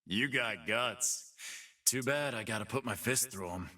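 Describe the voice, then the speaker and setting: A man's voice: mid-range voice, measured pace, bright, forceful tone, far from the mic. An actor voicing a cocky, brutish half-human fight-pit boss for a video game, with a swaggering, taunting, slangy tough-guy drawl. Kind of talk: video game character voice lines.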